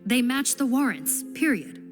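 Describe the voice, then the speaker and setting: Lively, skeptical prison investigator. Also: female voice, fairly high pitch, unhurried. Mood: skeptical, firm. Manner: emphatic, matter-of-fact, skeptical. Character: brisk, confident female prison investigator. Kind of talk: video game voice acting. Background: game music.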